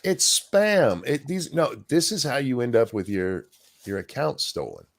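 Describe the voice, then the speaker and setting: A man hosting a casual live stream, ranting in a crude, sarcastic way with loud outbursts. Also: mid-range voice, big pitch swings, unhurried, gravelly. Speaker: stream host. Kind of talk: casual profane ranting chat.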